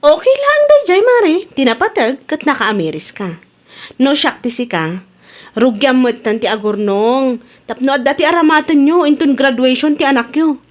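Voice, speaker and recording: A high-pitched, chatty Ilocano village woman played by an actress in a radio comedy drama. She is frank and teasing with her friends, animated and quick to sound amused, with a Filipino Ilocano accent. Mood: cheerful, frank.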